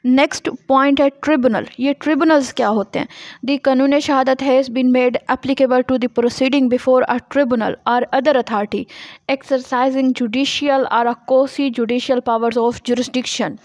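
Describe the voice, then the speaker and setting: A woman's voice: sits high, steady tone, takes it slowly, a little mellow, no echo. A woman with a Pakistani accent giving a lecture, reading out passages and explaining them in a didactic way, often asking and answering her own questions. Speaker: law lecturer.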